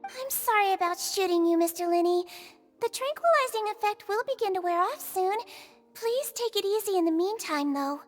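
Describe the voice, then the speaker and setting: A woman's voice: very high-pitched, animated, normal speed, long reverb tail, faint music behind. A woman voicing a tiny, sweet, dutiful childlike nurse with formal, courteous speech in a video game. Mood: caring, clinical.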